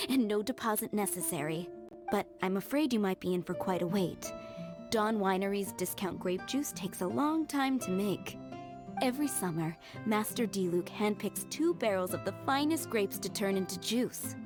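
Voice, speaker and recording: Game role: actress as gentle, deferential maid of a wine estate, mid-range female voice, polite and composed. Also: medium pitch, animated, moderate pace, lightly acted. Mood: polite, explanatory. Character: courteous, devoted female winery maid, gentle and poised. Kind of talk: video game voice acting. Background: music.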